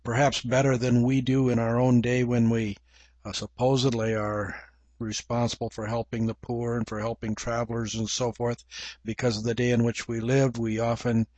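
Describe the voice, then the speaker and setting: An older man with an English accent, preaching a measured, reflective Bible teaching with frequent pauses. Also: mid-range voice, flat delivery, darkish tone, no room sound.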